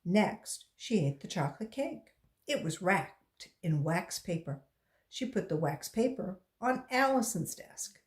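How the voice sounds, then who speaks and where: Female storyteller for children's read-aloud, slow and very expressive, recorded in a roomy space. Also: on the low side. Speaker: volunteer children's book reader.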